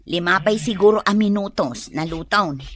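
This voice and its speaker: A woman's voice: mid-range voice, normal speed, crowd noise, close to an everyday voice. A woman with a Filipino accent playing a scolding, protective young wife in a comedy radio drama. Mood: calm.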